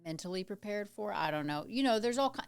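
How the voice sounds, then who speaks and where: A woman's voice: mid-range voice, animated, fast, smooth, dry recording. A woman in her late thirties co-hosting a podcast, chatting animatedly and swearing casually. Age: 30s. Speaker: podcast co-host.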